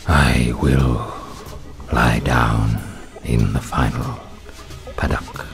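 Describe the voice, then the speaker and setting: An actor playing a bleak, weary wanderer in a poetic radio play, speaking in halting fragments that sink toward a whisper over music. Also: male voice, a bass voice, fairly even intonation, gravelly, heavily acted.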